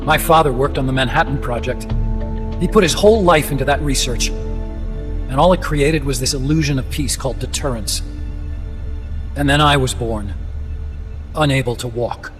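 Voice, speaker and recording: An actor playing an earnest, disillusioned scientist in a video game, explaining things in a talkative, serious way. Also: male voice, medium pitch, normal speed, pauses often, close to an everyday voice. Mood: bitter, earnest. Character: earnest, disillusioned male nuclear weapons scientist, unable to walk. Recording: loud music behind. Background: music.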